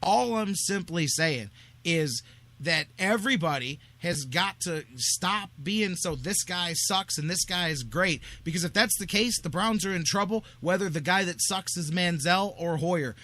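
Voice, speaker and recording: An American man hosting sports talk, making blunt, opinionated points in a colloquial, forceful style. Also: fairly high pitch, lively intonation, normal speed. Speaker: sports talk radio host.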